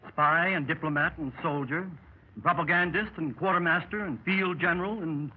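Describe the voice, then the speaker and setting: An actor playing a seasoned American secret service adventurer, recounting exploits with matter-of-fact bravado in a 1930s radio drama, on an old recording. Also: male voice, a little high, moderate pace, slightly rough. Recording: muffled, noisy vintage 1930s radio recording.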